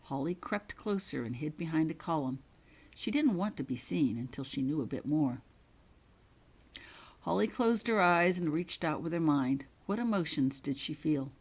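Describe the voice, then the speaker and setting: A woman reading her own fiction as a scripted, dramatic story narration, in measured, suspenseful short phrases. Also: deep voice, animated, a little mellow, slightly rough.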